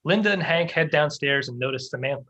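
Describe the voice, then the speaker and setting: Bright-voiced man reading stage directions. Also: fairly high pitch, lively intonation, fast, dull audio. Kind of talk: scripted narration.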